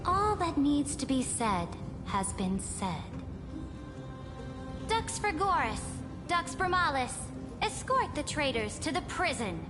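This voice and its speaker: An actress playing an imperious, calculating female emperor in a video game, regal, measured and commanding. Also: sits high, big pitch swings, full character voice. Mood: cold command. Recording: long hall reverb, music underneath.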